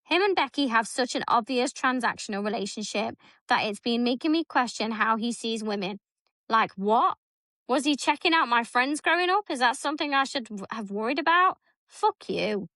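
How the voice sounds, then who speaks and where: A woman's voice: on the high side, animated, normal speed, a bit bright, dry recording, clean studio sound. A woman in her thirties co-hosting a podcast, reading a story aloud in casual chat, blunt and swearing freely. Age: 30s. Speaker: podcast co-host.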